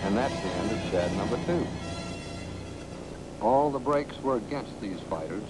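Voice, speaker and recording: Low-voiced American 1940s film narrator, man. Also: animated, a little slow, low-quality recording. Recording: 1940s film soundtrack with music.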